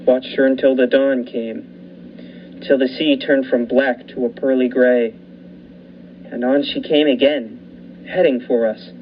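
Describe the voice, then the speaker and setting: A man playing a lighthouse keeper who narrates the story in a student radio play, measured and suspenseful. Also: medium pitch, lightly acted. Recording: muffled with faint hiss.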